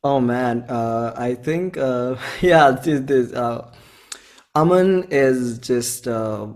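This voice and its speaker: A man in his twenties speaks with an Indian accent at a medium pitch. He talks like a musician in a relaxed interview, informal and rambling. He often repeats himself and slips into bits of quoted dialogue. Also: slow. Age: 20s. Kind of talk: casual conversational interview.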